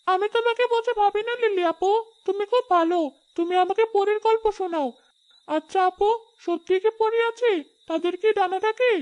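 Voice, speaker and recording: A woman's voice: very high-pitched, moderate pace, a little mellow, pitched above the performer's own voice, poor audio. A woman voicing an innocent, affectionate little village girl in a cartoon fairy tale, childlike and eager. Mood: curious, affectionate.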